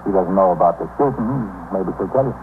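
An actor playing a brisk, insistent American police sergeant in a 1950s radio drama. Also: male voice, low voice, close to an everyday voice. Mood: matter-of-fact. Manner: brisk, pressing, matter-of-fact questioning. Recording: tinny, hissy 1950s radio recording.

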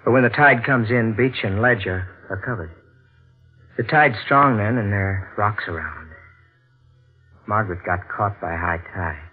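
Guarded radio-drama husband, tinny. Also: male voice, on the low side, unhurried, husky, faint music behind. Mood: grim. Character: evasive, guarded husband with a dark secret. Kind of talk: 1940s radio drama acting. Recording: thin, tinny old radio recording.

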